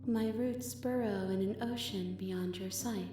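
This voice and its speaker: A woman voices an ancient female entity from beyond the world in a dark game. Her words are slow, calm and cryptic, ringing with echo over music. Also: mid-range voice, close to an everyday voice. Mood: serene, solemn. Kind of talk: video game voice acting.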